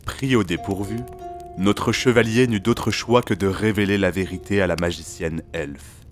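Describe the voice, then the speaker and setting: Male storyteller, very low register, literary scripted narration for fantasy audio drama, light music bed. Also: fairly even intonation, moderate pace.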